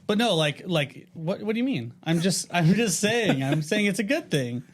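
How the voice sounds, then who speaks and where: A man's voice: high-pitched, lively intonation, normal speed. A man co-hosting a podcast in casual chat, teasing and skeptical as he argues. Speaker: podcast co-host.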